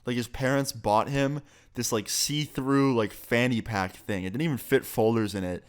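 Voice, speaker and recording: A man's voice: medium pitch, normal speed. A young man, a bit sick, telling a casual, profane story on his vlog. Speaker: YouTuber.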